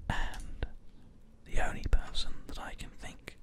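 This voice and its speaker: A man whispers gently and without hurry into a close binaural microphone, commentating on gameplay as an ASMR host. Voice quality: unvoiced whisper. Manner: gentle, unhurried, measured. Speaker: host of an ASMR gaming show. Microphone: close binaural microphone, ear to ear.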